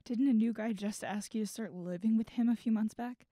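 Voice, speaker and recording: Woman playing wry guarded young adult, mellow soft-edged tone, dry recording, audio drama. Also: on the high side, brisk, no room sound, natural voice.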